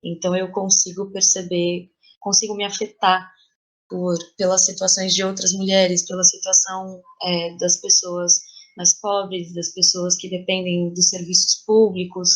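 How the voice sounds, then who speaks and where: Brazilian woman, reflective and halting. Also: fairly low pitch, level tone, poor audio. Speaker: playwright and theatre artist, podcast guest. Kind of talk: reflective interview conversation.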